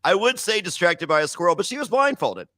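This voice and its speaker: A man's voice: high voice, very animated, fairly quick, bright, forceful tone, no echo. A man hosting a casual recap chat, joking and laughing often, with frequent filler uhs. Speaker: podcast host.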